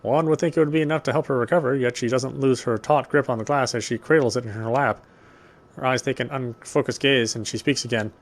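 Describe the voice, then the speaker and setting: A man reading a visual novel's narration aloud in a measured, literary way, with small stumbles over the words. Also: mid-range voice, steady tone. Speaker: let's player reading game text.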